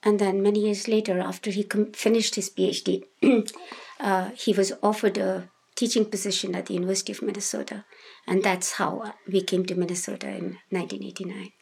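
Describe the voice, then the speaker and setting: Husky-voiced woman in her sixties from india. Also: medium pitch, level tone, brisk, a little mellow. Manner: thoughtful, hesitant with many fillers and restarts. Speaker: guest, domestic violence shelter worker. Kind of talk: casual interview answers.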